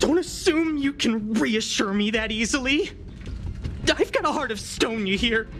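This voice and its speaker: A jaded game heroine with a high voice and a slow, sardonic delivery. She sounds world-weary and guarded, with music clearly behind her. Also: animated, a bit bright. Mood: defiant. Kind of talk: video game voice acting. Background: prominent music.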